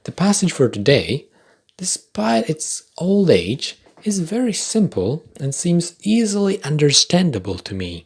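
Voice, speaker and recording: A young man whose first language is Polish, hosting a podcast with a wry, self-deprecating, deliberately paced scripted monologue. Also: fairly high pitch, big pitch swings, husky. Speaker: podcast host.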